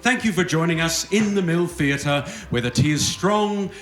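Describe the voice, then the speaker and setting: A man's voice: fairly high pitch, moderate pace, faint music behind. A man hosting and narrating a radio play in theatrical scripted style before a theatre audience. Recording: roomy stage with noticeable background noise.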